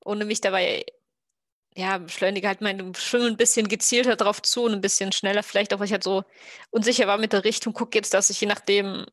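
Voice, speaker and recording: A woman's voice: mid-range voice, steady tone, brisk, no room sound, studio quality. A woman talking casually and improvising as a player in an online tabletop roleplaying game. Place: indoor room at home, video call.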